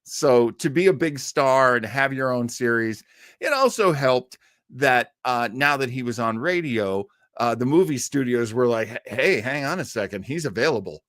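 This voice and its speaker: An American man hosting a listening party with casual live commentary, chatty and halting as he rambles. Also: mid-range voice, animated, a bit bright, no echo, studio quality. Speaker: host of an old-radio listening party.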